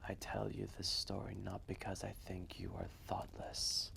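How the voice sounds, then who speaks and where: A man playing an ancient, wise and gentle dragon, telling a story in a hushed, breathy, measured voice with long pauses. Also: low voice, monotone, whispered, heavily acted. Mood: gentle, reassuring. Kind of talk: slow intimate storytelling roleplay, ASMR-like.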